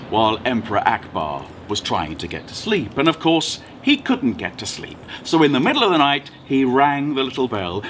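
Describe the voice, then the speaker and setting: A man telling a folk tale aloud as a storyteller, dramatic and expressive, with deliberate repetition. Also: fairly high pitch. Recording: noticeable background noise.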